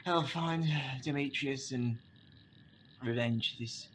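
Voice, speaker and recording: A man's voice: mid-range voice, takes it slowly, slight background noise, lightly acted. A man acting an angry, weary young lover in a Shakespearean radio play, declaiming verse in broken phrases with sighs. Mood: exhausted, frustrated.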